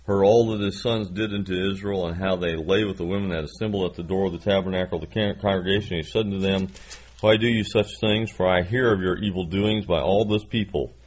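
Husky older man preaching. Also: low-pitched, fairly even intonation, brisk, low-quality recording. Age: 60s. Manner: folksy, self-deprecating asides. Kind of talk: sermon with scripture reading.

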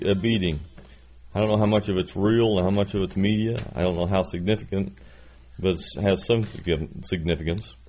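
A man's voice: sits low, a little mellow, a little hiss or hum. A man in his sixties preaching a sermon, reading scripture and adding folksy, self-deprecating asides. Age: 60s.

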